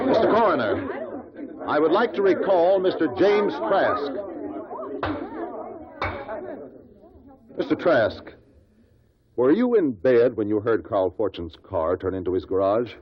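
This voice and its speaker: An actor playing a shrewd, relentless investigator who questions witnesses deliberately in a 1950s radio drama. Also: male voice, mid-range voice, natural voice. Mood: probing, formal. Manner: deliberate, probing courtroom questioning. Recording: muffled vintage 1950s radio recording.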